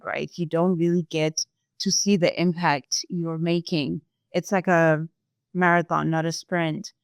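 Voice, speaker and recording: A young woman from Zimbabwe, a sales employee answering interview questions in a thoughtful, measured way, with some fillers. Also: fairly low pitch, clear, resonant tone, no echo, studio quality.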